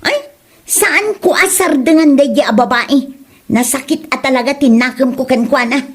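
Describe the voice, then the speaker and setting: A woman's voice: sits high, normal speed, slightly rough. A woman playing an indignant, whining village woman in a comedy audio drama, with an Ilocano accent. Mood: hurt, complaining. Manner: whining, exclamatory complaining. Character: touchy, indignant village woman Wilma, insulted over her face.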